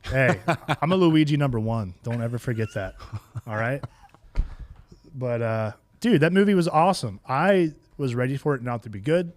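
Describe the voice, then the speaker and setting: A man's voice: mid-range voice, animated, moderate pace. A man co-hosting a podcast, chatting casually and enthusiastically in loose slang about movies. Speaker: podcast co-host. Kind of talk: casual banter about video game movies.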